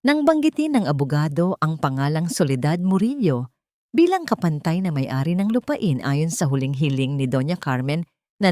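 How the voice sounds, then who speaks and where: A woman's voice: deep voice, warm tone, no room sound, studio quality. A woman with a Filipino accent reads a scripted dramatic story as its narrator.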